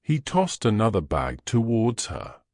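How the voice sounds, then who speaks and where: Male narrator, story fiction, lowish pitch, lively intonation. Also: a little slow, no echo, studio quality. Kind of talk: scripted audio fiction narration.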